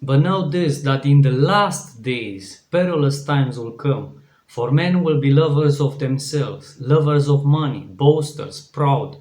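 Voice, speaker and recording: A man's voice: mid-range voice, slow, darkish tone. A man from Romania with a Romanian accent, preaching an earnest devotional sermon, with frequent restarts. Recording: echoey room.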